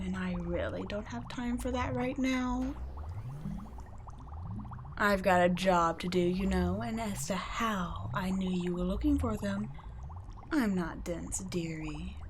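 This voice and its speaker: A woman's voice: medium pitch, a little hiss or hum, natural voice. A woman voicing a cool, condescending female vampire scientist in an ASMR audio roleplay, speaking in a teasing, patronizing way and slipping into whispers. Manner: teasing, patronizing, soft-spoken with whispered asides.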